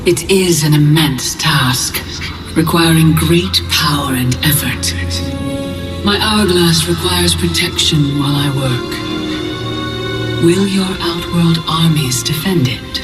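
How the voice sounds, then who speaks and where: A woman's voice: low-pitched, slow pace, over music. A woman playing a cold, imperious goddess of time in a video game cutscene, formal and regal in manner. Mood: calm, persuasive.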